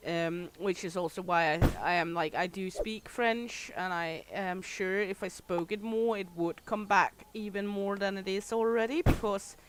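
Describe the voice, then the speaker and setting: A woman's voice: mid-range voice, steady tone, normal speed, hardly any pauses, low-quality recording. A middle-aged woman speaks English with a thick Danish accent, her voice in a plain middle register. She chats candidly with her livestream audience as she plays, answering them as she goes. A faint hiss sits under the recording. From Denmark. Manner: chatty, candid, reads and answers chat aloud. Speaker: streamer. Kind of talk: casual livestream chat while gaming.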